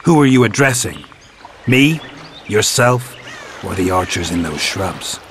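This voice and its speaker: An actor playing a cynical, world-weary warrior who hunts monsters in a video game, speaking in a dry, blunt, restrained way. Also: male voice, on the low side, sing-song, a little slow, noisy background. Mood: challenging.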